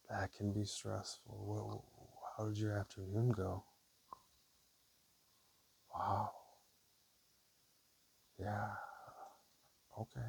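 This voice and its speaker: A man speaking softly and casually in an ASMR style, sometimes whispering, with a hesitant, unhurried, low gravelly murmur. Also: poor audio. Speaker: ASMR creator. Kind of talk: ASMR, soft-spoken and whispered personal talk. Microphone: camera or basic mic, spoken close. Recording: faint hiss on amateur mic.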